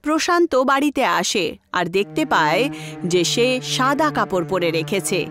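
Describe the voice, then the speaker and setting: A woman's voice: medium pitch, big pitch swings, moderate pace, music in the background. A woman narrating a horror story in a dramatic storytelling style.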